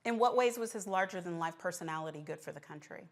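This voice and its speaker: A woman's voice: fairly low pitch, fast talker. A woman hosting a political talk show, putting challenging, persistent questions to a guest.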